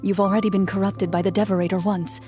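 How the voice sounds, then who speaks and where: A woman voicing a composed, honourable monster hunter and duelist in a game, speaking in a formal, measured, solemn way. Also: medium pitch, level tone, music in the background, lightly acted. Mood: grave, concerned. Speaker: game voice actor. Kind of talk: scripted game drama and narration.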